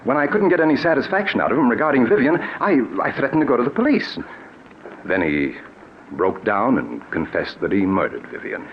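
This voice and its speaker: A man's voice: medium pitch, sing-song, close to an everyday voice. Man acting nervous, wildly swinging radio-drama villain. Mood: feigned shock. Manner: halting, agitated stammering. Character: guilty, scheming male murderer feigning innocence, nervous and evasive. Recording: muffled, noisy 1940s radio recording.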